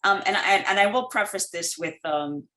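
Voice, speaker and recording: A woman introducing herself on a panel, warm and affectionate toward a former classmate. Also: medium pitch, normal speed. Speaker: panel mentor. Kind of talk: panel talk over video call.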